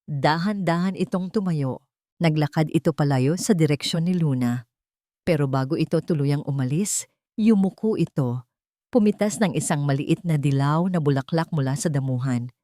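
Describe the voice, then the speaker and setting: Woman doing dramatic story narration, kinda low and soft-toned, super dry recording. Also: no room sound.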